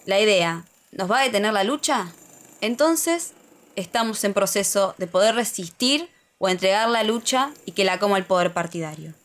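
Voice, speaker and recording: A woman's voice: mid-range voice, expressive, normal speed. A woman with an Argentine accent reads a scripted opinion essay aloud, as on a podcast. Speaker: podcast speaker.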